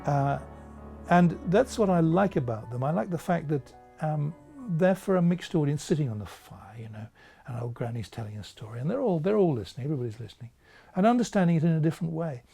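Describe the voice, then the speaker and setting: A man's voice: fairly high pitch, expressive, a little fast, creaky, dark tone, breathy edge, faint music behind. A man speaking as an author in an interview, reflecting thoughtfully with a storyteller's careful phrasing.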